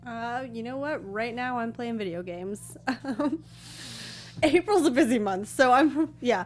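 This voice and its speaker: A woman's voice: fairly high pitch, expressive, a little slow. A woman co-hosting a review show in casual, easygoing conversation. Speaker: show co-host.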